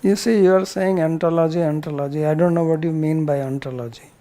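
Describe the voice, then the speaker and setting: Mellow older Indian man teaching. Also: on the high side, steady tone, fast talker. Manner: blunt, questioning back. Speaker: spiritual teacher answering students. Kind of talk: class question-and-answer.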